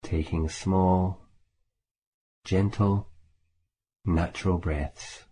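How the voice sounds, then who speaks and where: Low, mellow man guiding meditation. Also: husky. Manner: calm, measured, with long pauses between phrases. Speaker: Buddhist monk, meditation teacher. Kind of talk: guided meditation. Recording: faint background hiss.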